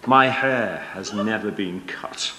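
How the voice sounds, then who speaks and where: A man's voice: fairly low pitch, slow. A man playing a bumbling, exasperated strongman with hesitant, repetitive delivery in a comic Bible story reading. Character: exasperated, bumbling strongman hero, easily worn down. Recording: echoey hall, clear background noise.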